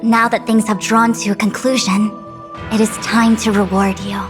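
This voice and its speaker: An actress voices a dignified young woman who leads as a fantasy game's acting general. Her delivery is measured, formal and authoritative, with the weight of duty on her, over music. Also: mid-range voice, level tone, natural voice. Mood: gracious, official. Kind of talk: formal scripted game dialogue. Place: studio recording with music behind.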